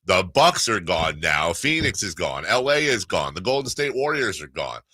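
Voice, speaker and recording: A man's voice: sits low, big pitch swings, moderate pace, bright, forceful tone, dry recording. A man hosting a casual sports radio talk show, speaking in a wry, joking way with stammering restarts.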